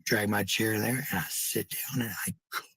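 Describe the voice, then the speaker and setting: Husky, low-voiced woman, defensive and blunt. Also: fairly even intonation, normal speed, fairly bright tone, no room sound. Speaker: livestreamer. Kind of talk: casual rant to followers.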